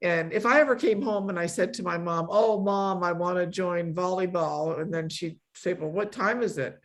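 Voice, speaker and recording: A middle-aged woman from a Polish immigrant family, hosting an online meetup in a casual, effusive, emphatic way. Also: on the low side. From born in Poland, grew up in a Polish immigrant family. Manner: effusive, emphatic repetition, quick to laugh. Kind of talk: casual chat, thanking a guest.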